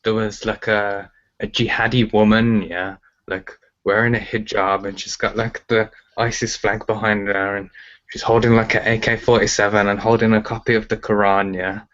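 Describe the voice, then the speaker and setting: A man's voice: fairly low pitch, flat delivery, moderate pace, a bit bright, muffled recording. A man talking as an interview guest, rambling and filling with "like" and "uh". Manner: rambling, fills with "like" and "uh". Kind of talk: casual interview chat.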